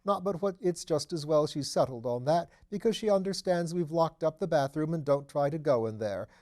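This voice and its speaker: A man's voice: on the high side, lively intonation, moderate pace, a little mellow, pitched above the performer's own voice, lightly acted. A man voicing a small, nervous, apologetic man in an audiobook, deferential and fussy as he repeats himself. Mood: distressed, agitated. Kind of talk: audiobook character voice.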